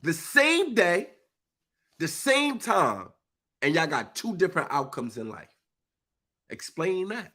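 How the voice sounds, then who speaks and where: Man, congested and a bit husky, emphatic preachy monologue, lively high-ish voice. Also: slow, pauses often. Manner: preachy, emphatic, uses informal speech. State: congested, stuffy nose. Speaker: live-stream speaker. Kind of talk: impassioned live monologue.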